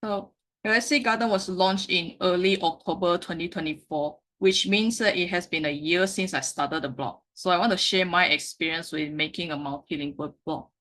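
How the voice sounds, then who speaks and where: A woman, a Mandarin speaker, giving a slide presentation with frequent halting self-corrections. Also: fairly low pitch, fairly bright tone. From Malaysia. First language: Mandarin Chinese. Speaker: meetup speaker.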